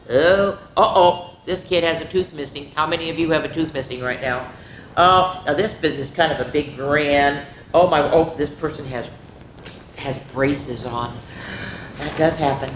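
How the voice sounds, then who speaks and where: A woman's voice: sits low, measured pace, a bit bright. A woman hosting a children's story time, reading aloud dramatically and chatting directly to young listeners with lots of exclamations. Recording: roomy echo, faint background noise.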